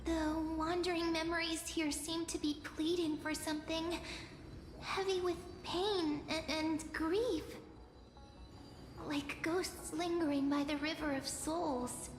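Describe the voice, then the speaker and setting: A woman's voice: very high-pitched, fairly even intonation, takes it slowly. A woman voicing a small, sweet, sensitive girlish companion in a fantasy game, speaking delicately. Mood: uneasy, sorrowful. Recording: echoey reverb, music behind.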